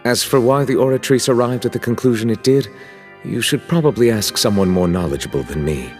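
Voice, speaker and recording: A man playing a stern, composed chief justice in a video game, speaking in a formal, measured, courteous way. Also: medium pitch, expressive, a little mellow, soft background music, lightly acted. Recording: long hall reverb.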